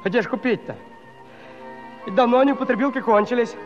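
An actor playing a lovesick, clumsy young village lad who pleads eagerly and stammers, in theatrical musical comedy style. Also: male voice, extremely high pitch, fast, faint music behind. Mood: lovesick, flustered. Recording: dull old recording with long reverb.

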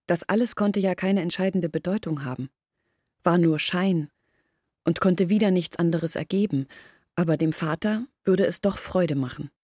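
A woman's voice: fairly low pitch, moderate pace, darkish tone, no echo, studio quality, natural voice. An actress playing Olga, an earnest young village woman, telling her story in a radio play. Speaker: lead character in radio play. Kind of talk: radio drama acting.

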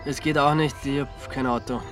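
Radio drama lead: polite, hesitant amateur sleuth, Austrian, male actor, medium pitch, music underneath. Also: steady tone, normal speed, lightly acted. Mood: sheepish. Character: polite, hesitant outsider hired as amateur detective. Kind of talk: naturalistic radio drama acting.

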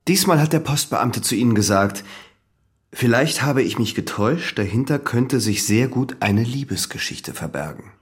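An actor playing a young examining magistrate, polite, measured and persistently probing in an audio drama interrogation. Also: male voice, medium pitch, lively intonation, natural voice. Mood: pressing, measured. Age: young adult.

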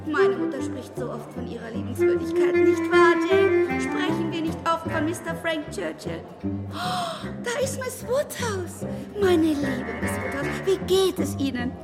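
A woman's voice: very high voice, big pitch swings, measured pace, full character voice. An actress playing an effusive, scatterbrained spinster who rambles on and breaks off her sentences in a radio drama. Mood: excited flattery. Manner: gushing, breathless rambling, broken-off sentences. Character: effusive, scatterbrained, endlessly chattering kindly spinster. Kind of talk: German radio drama acting. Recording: music playing behind the voice.

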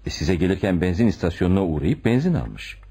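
An actor playing a deferential but shrewd police commissioner in a radio play, respectful and probing in manner. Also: male voice, deep voice, sing-song, fast talker, mellow, breathy edge, heavily acted. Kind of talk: Turkish radio theatre acting.

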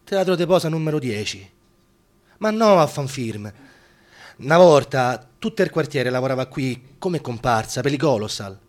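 An actor playing a wry young Roman film extra held prisoner, in a radio play with a Roman accent. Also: male voice, medium pitch, lightly acted. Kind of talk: radio theatre monologue.

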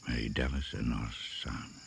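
A man narrating a radio drama gravely and ominously, in deliberate, incantatory short phrases, on an old recording. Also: extremely low pitch, steady tone, a bit bright, slight background noise, exaggerated voice. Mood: ominous, measured. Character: grave, ominous male narrator of a jungle tale.

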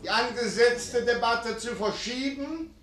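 An actor playing a stiff speaker of parliament making official announcements in a formal, measured way in a radio play. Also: male voice, very high-pitched, fairly bright tone. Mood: official, solemn. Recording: echoey hall, distant, crowd behind.

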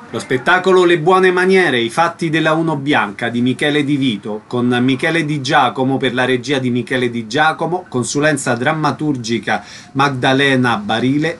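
A man at a medium pitch, working as a radio host. He reads prepared announcements in the style of a station notice. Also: fairly bright tone, slight background noise.

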